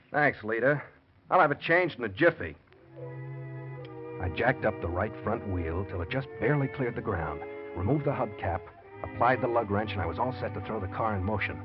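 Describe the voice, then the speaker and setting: A man's voice: medium pitch, lively intonation, moderate pace, close to an everyday voice. An American actor playing a scheming husband in a 1940s radio crime drama, telling his murder plot in terse, hardboiled style. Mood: falsely casual. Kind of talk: 1940s radio crime drama acting, first-person noir narration. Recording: muffled old radio broadcast with faint music.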